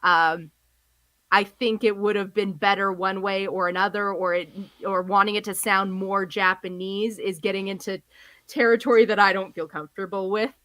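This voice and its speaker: A woman co-hosting a casual podcast, with a bright, clear voice. She talks with many ums and likes, feeling her way through her points. Her voice is recorded close and dry. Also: medium pitch, fairly even intonation, normal speed, dry recording. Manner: hesitant with many fillers, laughs easily. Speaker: podcast co-host. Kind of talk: casual discussion.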